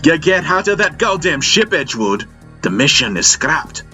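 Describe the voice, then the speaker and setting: A man voicing an angry, bossy, foul-mouthed male pilot shouting orders in a sci-fi audio story, music behind. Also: fairly high pitch, sing-song, normal speed, voice pushed up, heavily acted. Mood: furious. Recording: music bed under the voice.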